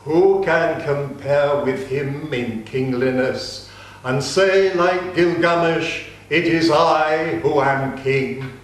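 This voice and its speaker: A man giving a slow, measured, solemn reading of an ancient epic. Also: a little high. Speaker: reader. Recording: very echoey room.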